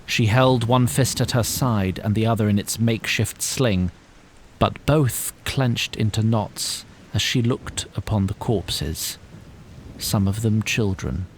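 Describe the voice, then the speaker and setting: An actor playing a wry, theatrical storyteller, narrating a fantasy tale in formal, florid language. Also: male voice, lowish voice, takes it slowly, slight background noise, poor audio. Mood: grave storytelling. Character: wry, theatrical storyteller addressing his listeners. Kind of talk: scripted dramatic fantasy narration.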